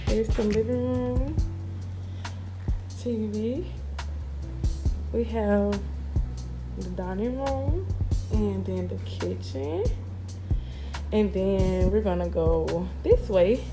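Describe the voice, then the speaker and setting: A woman's voice: mid-range voice, extremely slow, long pauses, music in the background, poor audio. A woman, chatting casually for a vlog on a handheld phone. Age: 20s. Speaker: vlogger. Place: inside a moving car, later walking through a house. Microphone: handheld phone at arm's length.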